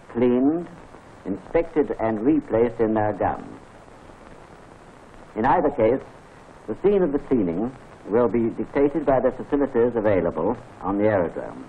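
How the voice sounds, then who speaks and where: A British man giving measured, formal narration for a wartime training film. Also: lowish voice. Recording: tinny 1940s film soundtrack with faint hiss.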